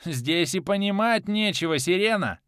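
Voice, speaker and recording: A man's voice: expressive, normal speed, a bit bright, clean tone, no room sound, studio quality. A man voicing a mad, cackling male deity who gloats with childish glee. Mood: gloating. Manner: manic, shrill, gloating.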